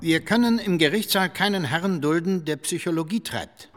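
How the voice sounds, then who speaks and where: An actor playing a haughty, threatening nationalist official in a radio drama, speaking coldly. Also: male voice, high voice, normal speed, close to an everyday voice. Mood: stern. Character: menacing, authoritarian male nationalist official.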